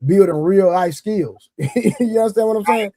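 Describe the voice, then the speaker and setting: A middle-aged man with a mellow, fairly high voice. He chats casually and reflectively in a dry-sounding space, often adding little check-in phrases. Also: moderate pace, dry recording. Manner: reflective, punctuates with "you know what I'm saying". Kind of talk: casual chat.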